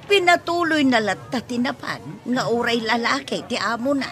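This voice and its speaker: A woman's voice: fairly high pitch, lively intonation, moderate pace, a bit bright. A woman with an Ilocano accent voicing a gossiping middle-aged neighbor in a radio drama. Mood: gossipy. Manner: chatty, gossiping.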